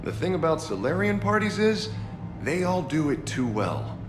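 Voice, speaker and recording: An actor playing a chatty, wry party guest in a video game, telling things conversationally. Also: male voice, fairly high pitch, big pitch swings, normal speed. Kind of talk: cinematic video game dialogue. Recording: echoey room with party music.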